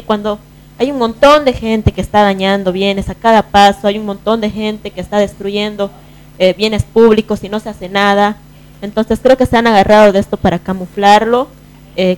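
A woman's voice: mid-range voice, a little mellow, rough recording. A woman panelist in a live radio discussion, making her points in emphatic, repeated phrases. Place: radio studio.

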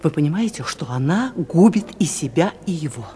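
A woman's voice: sits low, big pitch swings, a little fast, dark tone. Gossipy office busybody woman. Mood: confiding, urgent. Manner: chatty, indiscreet, insistent. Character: busybody gossiping female office committee activist. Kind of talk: Soviet radio comedy acting.

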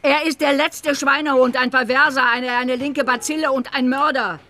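An actress playing a domineering, sharp-tongued middle-aged mother in a radio drama, scolding bluntly and coarsely. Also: high-pitched, fairly even intonation, moderate pace, a little hiss or hum. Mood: furious.

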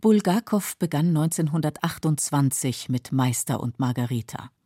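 A woman narrating a scripted radio documentary in a measured, sober manner. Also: low voice, expressive, mellow, no room sound, clean studio sound. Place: dry studio.

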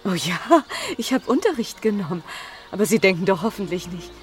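A woman's voice: medium pitch, animated, fairly quick, soft background music, lightly acted. An actress playing a genteel married woman under suspicion, airy, rueful and evasive, in a radio crime drama. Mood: evasive, uneasy.

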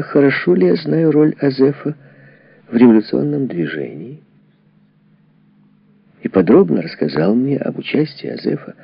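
A gravelly male narrator reads a historical memoir with a lively, wide-swinging intonation. His delivery is deliberate and dramatic, broken by pauses. The recording is dry and somewhat dull. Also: on the high side, no echo. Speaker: audiobook narrator.

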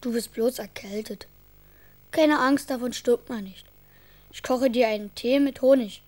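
A woman's voice: a little high, a little slow, darkish tone, lightly acted. A woman playing an earnest, caring little boy who coaxes and frets in a children's radio drama. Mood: caring, coaxing.

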